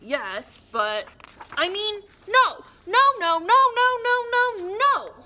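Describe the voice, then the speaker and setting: A woman's voice: fairly high pitch, lively intonation, drawn-out words, pushed and bright, a little hiss or hum, exaggerated voice. A woman voicing a rude, grumpy little male gnome in a storybook reading, with curt, snapping refusals. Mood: shouting.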